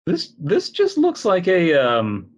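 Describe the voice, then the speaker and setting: A man's voice: sits high, wildly swooping pitch, slow. A man co-hosting a comedy podcast, bantering casually and profanely as he reads and reacts to horror fiction. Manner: rambling, joking, profane. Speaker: podcast co-host.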